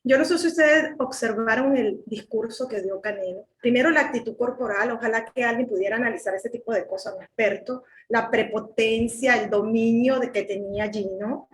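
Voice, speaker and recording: Venezuelan woman commentator, high-pitched, emphatic and indignant, echoey room. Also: moderate pace, hardly any pauses. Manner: indignant, emphatic, repeats words when worked up. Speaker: political commentator. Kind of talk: heated political commentary.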